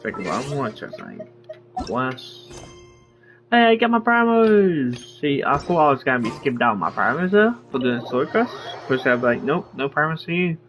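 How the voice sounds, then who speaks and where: A man's voice: mid-range voice, big pitch swings, normal speed, fairly bright tone, soft background music. A man streaming a game, giving casual, rambling commentary in mumbled asides.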